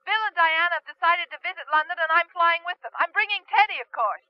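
An actress playing a sharp-tongued, sarcastic, suspicious wife in a radio drama, heard over a phone call. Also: female voice, very high voice, fast, dry recording. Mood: pointed, concerned. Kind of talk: 1970s radio drama acting. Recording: dull, muffled phone call.

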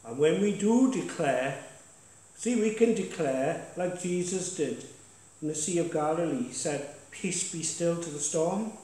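A man's voice: a little high, measured pace, mellow. Emphatic man preaching in an echoey church. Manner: emphatic, declaring, asks the congregation questions. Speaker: pastor leading worship. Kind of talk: sermon exhortation. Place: church sanctuary.

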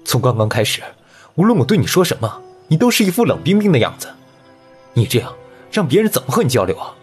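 A young man acting a hot-tempered but caring high school boy in a Chinese audio comic drama. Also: very animated, fast talker, close to an everyday voice. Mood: frustrated, angry. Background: background music.

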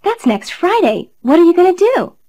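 A woman's voice: very high-pitched, very animated, moderate pace, dark tone. A young woman in her twenties reading a scripted learner dialogue in a cheerful, chatty way. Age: 20s. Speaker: dialogue reader.

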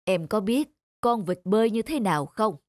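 A woman voicing a calm, wise middle-aged male teacher in a cartoon, speaking in a measured, instructive way. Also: mid-range voice, no room sound, studio quality, lightly acted. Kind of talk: cartoon dubbing. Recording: music under the voice.

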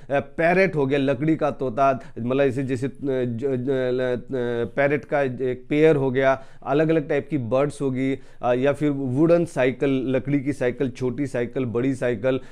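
A man in his thirties from India giving an educational business talk to camera on a lapel mic, emphatic, swinging from soft to loud, with frequent hesitations. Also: medium pitch. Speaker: business trainer. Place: indoor studio with green screen.

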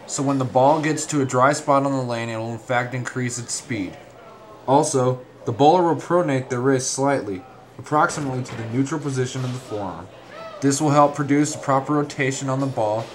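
A man reading a scripted instructional narration in a measured, formal, step-by-step way. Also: mid-range voice, slight background noise.

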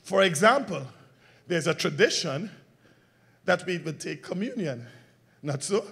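A man preaching a sermon as pastor, asking the congregation call-and-response questions and swinging between loud emphatic lines and quiet low ones. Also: lively intonation, a little slow, gravelly, slightly rough.

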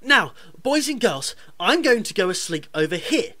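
An earnest young pantomime lad, played by a woman, hearty and theatrical. The voice is bright with lively rises and falls. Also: medium pitch, normal speed. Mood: rousing. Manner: hearty, addressing children theatrically. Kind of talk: radio pantomime acting.

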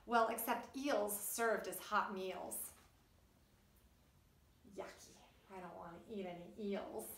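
A middle-aged woman, a preschool teacher reading aloud and explaining things to young children in an animated way. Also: medium pitch, slow pace, a little hiss or hum. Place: indoors, wood-panelled room by a puppet stage. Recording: roomy echo, distant microphone.

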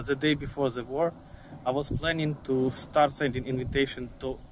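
A man in his thirties with a Ukrainian accent giving a video statement outdoors into a phone. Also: mid-range voice. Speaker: software architect. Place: open outdoor field. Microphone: phone camera. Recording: clear outdoor background noise.

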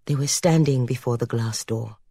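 Husky, low-pitched woman narrating a novel. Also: normal speed, warm tone, dry recording, studio quality. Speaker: audiobook narrator. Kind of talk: scripted literary narration.

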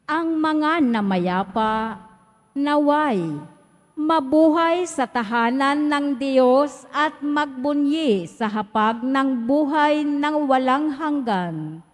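High slow woman lector in church. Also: lively intonation. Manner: slow, measured reading with pauses. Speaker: lector reading the intercessions. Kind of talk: reading the prayers of the faithful. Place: large church. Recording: echoey church hall.

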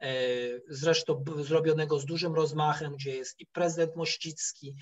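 A middle-aged man giving a history lecture over a video call, telling the story haltingly with digressions and repeated words. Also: fairly high pitch, little pitch movement. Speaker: history professor, guest lecturer. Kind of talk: spoken history lecture with slides. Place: indoor room, video call. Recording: dull, lo-fi online call audio.

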